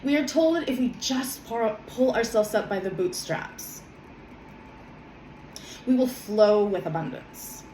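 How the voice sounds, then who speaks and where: A woman, a little hoarse from a cold, talking gently with many pauses and self-corrections, then reading her own journal. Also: a little high, animated, roomy sound, a little hiss or hum, rough recording. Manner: soft, hesitant, many ums and self-corrections. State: slightly hoarse from a summer cold. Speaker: vlogger.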